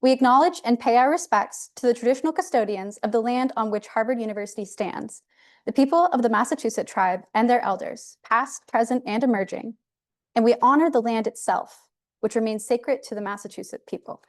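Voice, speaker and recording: A woman panelist reading a formal land acknowledgment and introducing herself, in a measured, formal way. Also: on the high side. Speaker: panelist, assistant director of a university program.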